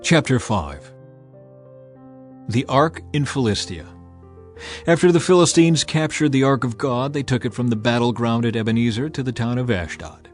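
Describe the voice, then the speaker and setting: A man reads scripture as a dramatized audiobook, with a solemn storytelling manner. His voice sits at a middle pitch, and music plays faintly behind him. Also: animated, normal speed. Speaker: narrator.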